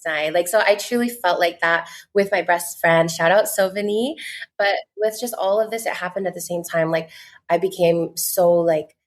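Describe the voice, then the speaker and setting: Woman, twenties, lower pitch, earnest, casual interview guest. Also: moderate pace. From United States. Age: 20s. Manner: chatty, many "like" fillers, earnest. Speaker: podcast guest, content creator.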